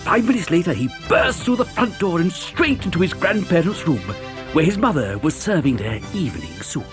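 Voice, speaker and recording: A male narrator tells a children's story in a dramatic, scripted way. His voice is fairly high and swings widely in pitch, with music clearly behind him. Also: sing-song, brisk.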